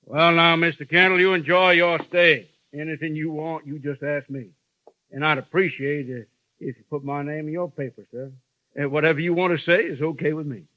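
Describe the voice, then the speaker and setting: An actor playing a genial but menacing frontier town boss with a folksy drawl in a 1950s radio western. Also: male voice, a little high, steady tone, normal speed, no room sound, close to an everyday voice. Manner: folksy drawl with a veiled threat. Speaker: town boss who lays down the law. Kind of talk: 1950s radio drama acting. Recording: dry, dull old radio broadcast.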